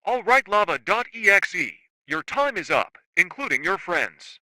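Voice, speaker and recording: A man's voice: sits high, sing-song, moderate pace, pushed and bright, dry recording, heavily acted, poor audio. A man playing an earnest young hero in an amateur web drama. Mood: confrontational. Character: earnest young male bomber hero leaving grave messages. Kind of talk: amateur web series voice acting.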